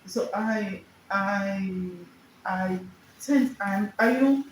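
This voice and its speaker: A woman in her fifties with a Nigerian accent, giving conversational, somewhat halting answers about herself as an interview guest. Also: medium pitch. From Lagos, Nigeria. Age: 50s. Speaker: wellness coach and business owner, interview guest. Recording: echoey room with background noise.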